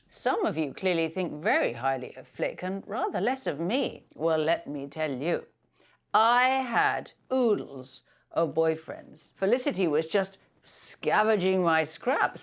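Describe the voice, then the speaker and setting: Scornful middle-aged mum, pompous, sarcastic, slow delivery, animated pitch, film drama. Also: female voice. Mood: scornful, boastful. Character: bitter, theatrical mother hosting a hostile family quiz. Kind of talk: acted film dialogue.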